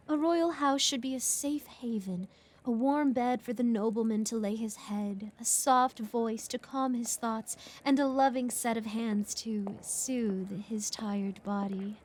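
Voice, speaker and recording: Actress as scheming society noblewoman for audio role play: lightly high register, effusive, affected, sly. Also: measured pace, darkish tone. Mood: gushing, flattering. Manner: effusive, affected courtly politeness. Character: gushing, flattering, sly noblewoman, a duchess.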